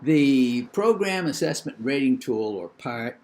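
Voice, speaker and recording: An older man with a New England accent giving a recorded lecture in a measured, opinionated way, with thinking pauses and fillers. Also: mid-range voice, animated, a little mellow.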